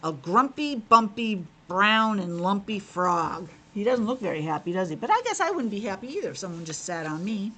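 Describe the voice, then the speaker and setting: A woman's voice: mid-range voice, big pitch swings, normal speed, hardly any pauses, a bit bright. A woman teacher reading a picture book aloud to young children, expressively, with simple asides to her listeners.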